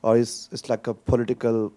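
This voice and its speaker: A man's voice: medium pitch, flat delivery, brisk, mellow. A man from Pakistan in the audience, asking a long, rambling question while searching for words.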